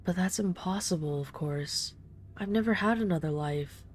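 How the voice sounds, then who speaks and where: A woman playing an ageless vampire in an intimate audio roleplay, speaking softly and without hurry, tender and wistful with a gently condescending, commanding edge. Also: fairly low pitch, moderate pace, slight background noise, lightly acted. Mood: wistful, reflective.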